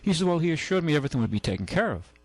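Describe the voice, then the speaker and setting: Gravelly radio host telling rambling stories. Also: male voice, fairly high pitch, sing-song, very fast, no room sound. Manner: rambling anecdotes with many restarts and acted-out quotes. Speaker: radio show host and car dealer. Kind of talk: casual on-air storytelling. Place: radio studio.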